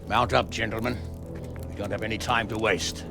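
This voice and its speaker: An actor playing a harsh, commanding medieval villain with a raspy voice and a curt, menacing manner in a video game. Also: male voice, fairly low pitch, flat delivery, normal speed, music in the background. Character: harsh, commanding medieval villain lord hunting his enemy. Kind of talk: dramatic video game acting.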